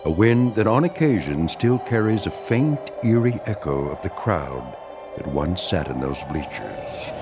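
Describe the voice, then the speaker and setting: A wry American storyteller delivers theatrical radio narration for an eerie tale. His lowish voice moves dramatically up and down over clear music. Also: sing-song, unhurried, heavily acted. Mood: wry, ominous.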